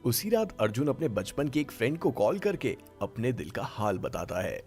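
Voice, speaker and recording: A man's voice: mid-range voice, sing-song, normal speed, a little mellow, soft background music. A man reading scripted story narration as a storyteller.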